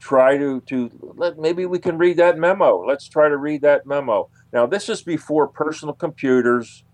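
A man explaining as an interview guest, unscripted and halting, searching for words and digressing as he goes. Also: fairly high pitch, darkish tone.

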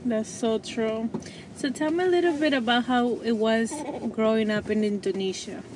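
A woman's voice: on the high side, normal speed. A woman hosting a parenting podcast, upbeat and encouraging with her guest. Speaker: podcast host. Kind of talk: casual podcast interview. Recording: loud traffic noise behind.

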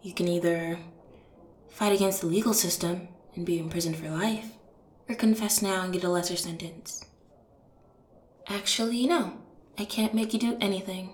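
A woman playing a cold, contemptuous police detective in an audio roleplay, speaking deliberately to a suspect she despises. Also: fairly low pitch, fairly even intonation, darkish tone, close to an everyday voice. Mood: restrained, pressing. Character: stern, disgusted female police detective interrogating a killer. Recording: roomy echo on the voice.

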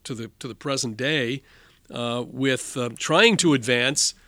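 A man hosting a formal policy interview, speaking in measured, halting phrases. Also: mid-range voice, sharp tone, dry recording. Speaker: interview host.

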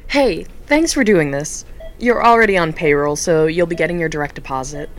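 A woman's voice: deep voice, normal speed, close to an everyday voice. An actress playing an eager, friendly, newly promoted young woman coordinator in an audio drama. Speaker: foundation coordinator.

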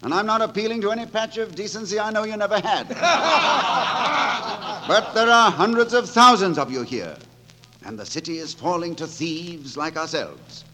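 An actor playing a gallant, roguish gutter poet and leader of rabble in a theatrical, declamatory 1940s radio drama style. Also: male voice, sits high, animated, moderate pace. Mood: rousing, defiant. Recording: 1940s radio broadcast with music behind.

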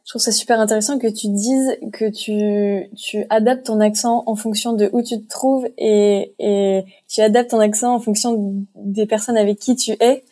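A woman's voice: medium pitch, fairly even intonation, moderate pace, mellow. A woman from France hosting a podcast, asking curious, open questions. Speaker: podcast host. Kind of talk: interview questions.

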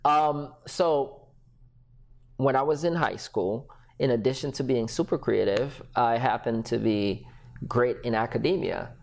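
A man who grew up in Haiti, a podcast guest telling his story in a lively conversational way, often acting out what others said and laughing. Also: medium pitch, a little slow, vocal fry. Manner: animated, acts out quoted voices, laughs often.

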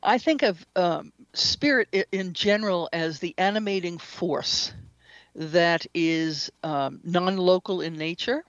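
A woman giving explanatory answers as an interview guest, in a measured, deliberate way with pauses. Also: fairly low pitch, no room sound, dull audio. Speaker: guest author and shamanic practitioner.

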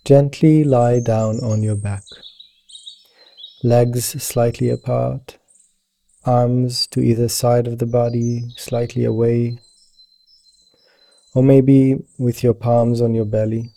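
Male meditation guide, very mellow and dark with creaky low edges, gentle and soothing delivery. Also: flat delivery, slow, long pauses. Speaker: meditation teacher guiding yoga nidra.

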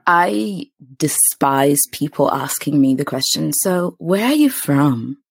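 A young woman hosts a casual podcast chat in a low voice that swings widely in pitch. She talks expressively, laughs often and leans on fillers, with a husky tone. The sound is close and dry. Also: animated, slow, no echo. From immigrant to New Zealand. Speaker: podcast host.

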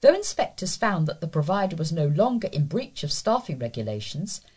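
A woman reads scripted local news as a volunteer reader. Her voice is fairly low and noticeably husky. The intonation moves up and down in a lively, animated way. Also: a little fast.

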